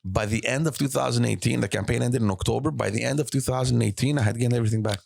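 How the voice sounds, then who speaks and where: A man's voice: mid-range voice, very fast, creaky, darkish tone. A man co-hosting a casual podcast, laughing often and swearing casually as he chats with friends. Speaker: podcast co-host. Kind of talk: casual chat.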